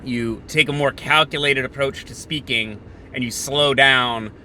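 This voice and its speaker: Bright, sharp-voiced opinionated male podcaster. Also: medium pitch, fairly even intonation, a little hiss or hum. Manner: opinionated, sardonic, halting between bursts. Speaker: podcast host. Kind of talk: unscripted political monologue, thinking out loud.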